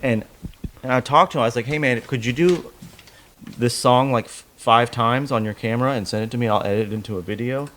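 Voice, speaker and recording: A man's voice: medium pitch, a little fast, a bit bright, a little hiss or hum. A man chatting casually as a podcast guest, a country musician who rambles and swears freely. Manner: rambling, profane. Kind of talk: casual interview chat.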